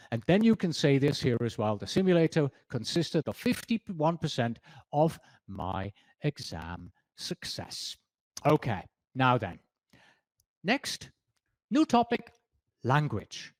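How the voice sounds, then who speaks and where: Lively Swiss German-accented male instructor. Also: medium pitch, no room sound. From Switzerland. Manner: chatty, self-correcting, reading quotes from slides. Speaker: exam prep instructor. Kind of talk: presentation lesson.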